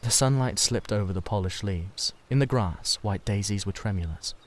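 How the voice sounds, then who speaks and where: A man's voice: lowish voice, moderate pace, slight background noise. A man reading scripted narration from a classic novel as an audiobook narrator.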